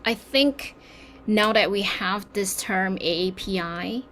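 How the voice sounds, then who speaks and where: A woman from Indonesia speaking as a community leader on a panel discussion, talking in quick, animated bursts. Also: mid-range voice, slight background noise.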